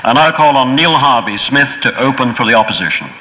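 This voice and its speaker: A man chairing a debate, making measured and formal announcements. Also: medium pitch, lively intonation, sharp tone, low-quality recording.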